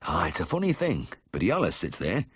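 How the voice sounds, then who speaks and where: A man voicing a bedridden, cheerful ex-miner with a husky South Yorkshire accent in a story reading. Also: deep voice, huge pitch swings, a little fast, dry recording, studio quality, full character voice. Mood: fond, chatty. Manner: plain-spoken dialect, warm and uncomplaining.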